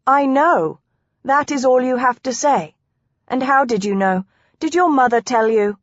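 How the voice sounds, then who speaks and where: A woman's voice: medium pitch, normal speed, a little mellow, no echo, natural voice. Narrator woman as a spirited young wife, emotional, period romance audiobook, old-fashioned wording, slightly dull recording. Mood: indignant. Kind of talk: audiobook character dialogue.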